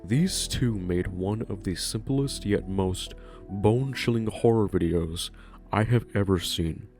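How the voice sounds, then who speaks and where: A man's voice: fairly low pitch, a little slow, darkish tone, faint music behind. A man narrating a ranking video in a scripted, enthusiastic way, swearing casually. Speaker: video host.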